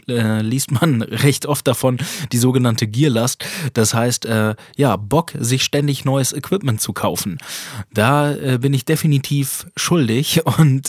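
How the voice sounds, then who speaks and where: Chatty podcast host, stuffy from a cold, husky and dry, laughs easily. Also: male voice, mid-range voice, a little mellow, no room sound. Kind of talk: casual solo podcast talk. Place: small home studio.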